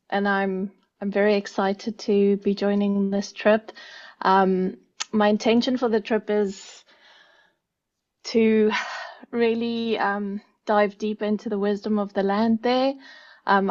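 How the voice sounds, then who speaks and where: Woman on a group call, slow, flat delivery, hesitant, muffled call audio. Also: mid-range voice. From South Africa. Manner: hesitant, many fillers.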